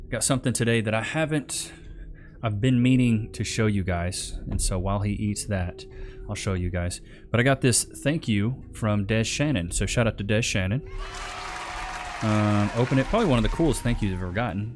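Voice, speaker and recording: A man's voice: fairly low pitch, expressive, moderate pace, long pauses, a faint crowd, slight background noise. A man hosting a reaction video, talking casually and emphatically in slang. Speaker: online video host reacting to clips. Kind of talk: casual streamer commentary.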